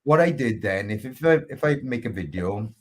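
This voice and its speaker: A man's voice: medium pitch, lively intonation, normal speed. A man from Wales co-hosting a casual chat show, with a Welsh accent, joking and self-mocking with stumbling restarts. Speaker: co-host.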